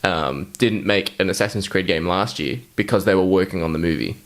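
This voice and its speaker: A man with a fairly low voice hosts a casual podcast. He chats about movies and games in loose, foul-mouthed conversational language. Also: a little fast. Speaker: podcast host. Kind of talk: casual chat about films and video games.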